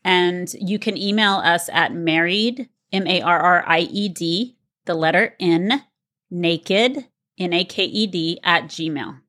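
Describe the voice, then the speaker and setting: A woman's voice: on the low side, slow pace, a bit bright. A woman co-hosting a podcast with her husband, chatting casually and teasing him with easy laughs. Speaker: podcast co-host, sexuality coach. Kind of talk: casual married-couple chat.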